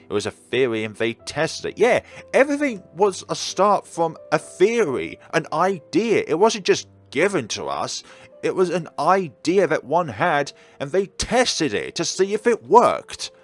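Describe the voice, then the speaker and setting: A man's voice: a little high, wildly swooping pitch, moderate pace, faint music behind. Chatty man commenting on a game. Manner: chatty, laughing, reacting to the story. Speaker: let's play host. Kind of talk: casual gameplay commentary.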